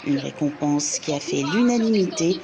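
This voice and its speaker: Lower-voiced woman narrating a TV report. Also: lively intonation, a crowd in the background. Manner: measured, formal. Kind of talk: scripted documentary narration.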